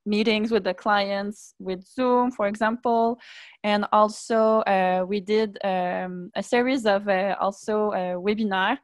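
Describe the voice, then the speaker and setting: French-speaking woman, halting Quebec accent. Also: mid-range voice, dry recording. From Montreal, Canada. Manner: halting, searching for English words, many "uh"s. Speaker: webinar participant. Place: indoor room at home. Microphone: earbuds on video call.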